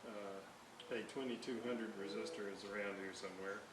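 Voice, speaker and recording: A man giving casual hands-on commentary as he repairs equipment, halting and muttering while he works. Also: on the low side, steady tone. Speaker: hobbyist repairer narrating a restoration. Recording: hissy, roomy, distant microphone.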